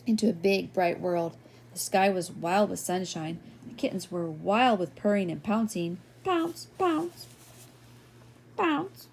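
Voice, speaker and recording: An older woman reading a children's picture book expressively, gently and playfully, drawing out key words and slipping in asides and questions to young listeners. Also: mid-range voice, creaky, a little hiss or hum. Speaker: read-aloud storyteller.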